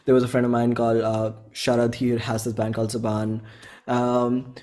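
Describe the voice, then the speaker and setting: A man's voice: medium pitch, completely flat delivery, moderate pace, mellow. A man in his twenties with an Indian accent, talking casually and in a rambling, slangy way as a musician being interviewed. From Bhopal, India. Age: 20s. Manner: rambling, informal slang, often quotes own thoughts.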